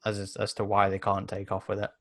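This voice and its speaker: Male tabletop player, lower-pitched voice, informal group conversation. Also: completely flat delivery, a little fast. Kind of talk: casual tabletop game chat.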